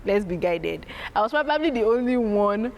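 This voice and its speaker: A woman with a strong, loud voice, telling stories about her family as an interview guest, animated and laughing as she acts out her parents. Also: medium pitch, normal speed. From Nigeria. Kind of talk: candid storytelling.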